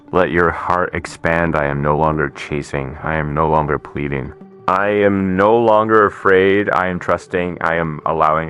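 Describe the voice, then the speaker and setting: A man leading a guided affirmation meditation, speaking slowly and soothingly in a soft voice. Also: a bass voice. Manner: slow, soothing, softly spoken.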